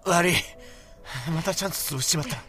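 A young man acting a frustrated, self-reproaching high school soccer player in an audio drama. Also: a little fast, pushed and bright, soft background music. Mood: apologetic, frustrated.

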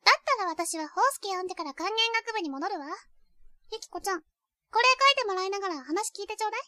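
Woman as a sharp, curt little schoolgirl senior. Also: very high voice, quick pace, no echo, studio quality. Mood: curt, challenging. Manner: curt, bossy. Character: small, blunt, aloof second-year high school girl. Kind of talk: Japanese youth romantic comedy voice drama.

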